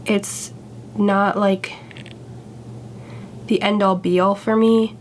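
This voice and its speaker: A woman's voice: medium pitch, flat delivery, drawn-out words, a little hiss or hum. A young woman vlogger sounding congested from a cold, talking in a chatty, candid, self-deprecating way. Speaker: YouTuber. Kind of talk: casual vlog chat.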